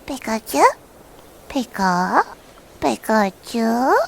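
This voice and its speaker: A man voicing a small, cute, affectionate male electric mouse creature that chirps its own name in drawn-out syllables. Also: very high voice, expressive, gravelly, fairly bright tone, pitched above the performer's own voice, heavily acted. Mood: curious.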